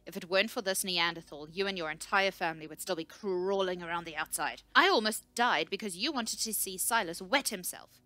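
A woman's voice: low voice, quick pace, few pauses, fairly bright tone, no echo. Woman playing a surly, volatile male scout, biting. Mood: venomous. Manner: hissing, huffy, spiteful.

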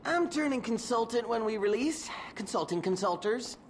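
A grumbling young American tech worker in a game cutscene. He rambles chattily with very lively intonation, over clear ambient noise. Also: very high-pitched, moderate pace. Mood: casual, confiding. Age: young adult. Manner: rambling, jargon-heavy, slacker-like. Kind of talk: video game cutscene acting.

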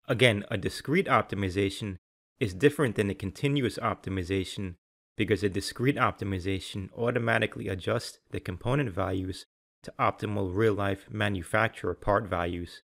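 A man's voice: on the low side, normal speed, creaky. Man narrating a scripted technical tutorial.

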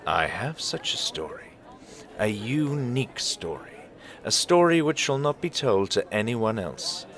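An actor playing a mysterious, sly old vagrant who sells stories, speaking in a deliberate, theatrical, teasing way in an audio drama. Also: male voice, medium pitch, very animated, fairly bright tone. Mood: grave, mysterious.

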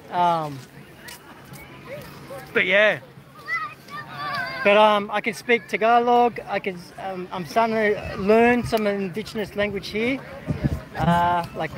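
High-voiced Australian man on a livestream. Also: fairly bright tone. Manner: rambling, full of fillers and restarts, greets viewers. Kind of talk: casual livestream chat. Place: outdoors at a football carnival. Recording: crowd noise behind.

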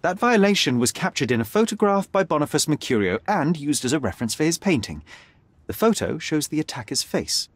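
A confident young male detective in a game drama. His fairly high voice swings with lively emphasis, each formal phrase pointed and articulate. The sound is close and dry. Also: brisk, no room sound. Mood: assured, accusing. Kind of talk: dramatic video game acting.

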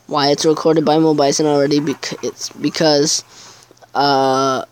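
A man's voice: on the high side, little pitch movement, measured pace, smooth. A teenage boy chatting casually over his gameplay video, rambling and hesitant, with many fillers. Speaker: gaming YouTuber.

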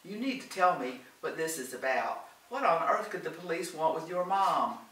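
A man reading the part of a forceful, anxious woman in a storybook, loud and insistent. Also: medium pitch, moderate pace, roomy sound, distant mic, natural voice, poor audio. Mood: demanding.

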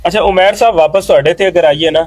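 A man's voice: high-pitched, fast talker, no room sound. A man interviewing guests outdoors at night, asking long, polite questions full of praise. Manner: polite, long winding questions, frequent "mashallah".

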